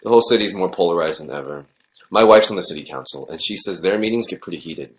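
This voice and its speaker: An actor playing a worried, cautious high school principal in an audio drama, speaking in a measured, conciliatory way. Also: male voice, lightly acted, poor audio. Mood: cautious, concerned.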